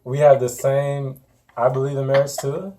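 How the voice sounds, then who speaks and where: A man's voice: medium pitch, level tone, moderate pace, warm tone, slight background noise. A young adult man from the United States, co-hosting a casual couple chat in a teasing, laid-back way. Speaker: co-host.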